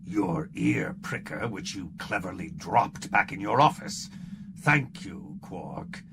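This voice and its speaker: A man voicing a stern, shapeshifting male constable in an audiobook, low and gravelly with a dry, sardonic delivery. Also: lively intonation, slow, fairly bright tone, slight background noise, heavily acted. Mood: sarcastic. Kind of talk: audiobook character reading.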